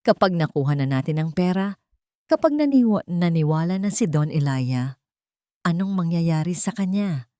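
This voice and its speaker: A woman narrator acts a younger female conspirator in an audio melodrama. She sounds anxious and disdainful, with a hushed, plotting manner and a dry recording around her. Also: fairly low pitch, expressive, moderate pace, no room sound, close to an everyday voice. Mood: anxious, scheming. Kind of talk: dramatized audio story.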